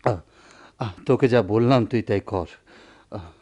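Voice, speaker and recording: A sick, tormented village doctor in a radio play. His voice is low and weary, with groaning breaths. He speaks in formal, poetic phrases with a brooding, declamatory weight. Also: measured pace, darkish tone. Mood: weary, tender. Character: ailing, anguished village doctor, gentle and brooding. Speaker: radio drama actor. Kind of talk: Bengali radio drama acting, poetic monologue.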